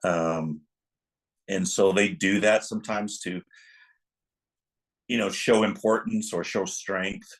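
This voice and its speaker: Low-voiced man, halting and reflective. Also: fairly even intonation. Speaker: film director, interview guest. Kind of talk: reflective interview conversation.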